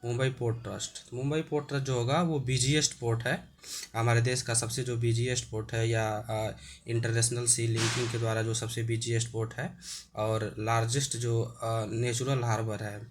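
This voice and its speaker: A man with a sore throat, explaining an exam-prep lesson with many hesitations and frequent throat clearing. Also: mid-range voice, flat delivery, normal speed, slight background noise.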